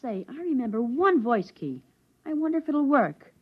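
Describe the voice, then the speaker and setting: A woman's voice: sits high, sing-song, moderate pace, slightly rough, full character voice. An actress playing a curious, stubborn thirteen-year-old boy with a boyish, eager manner, in an old radio drama. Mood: eager, cheeky. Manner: boyish, eager and wide-eyed. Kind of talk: 1950s radio drama acting. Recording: muffled 1950s radio broadcast.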